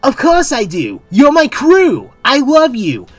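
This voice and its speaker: A woman's voice: sits high, slow pace, faint music behind, heavily acted. A woman voicing an earnest, caring young male space captain with an emphatic boyish manner, in a fan comic dub. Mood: excited. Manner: emphatic, boyish, swinging from hushed to excited.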